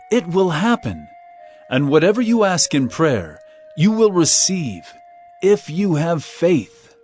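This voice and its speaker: An actor playing an authoritative, earnest male religious teacher in an audio drama, speaking in emphatic, measured phrases. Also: fairly high pitch, a little mellow.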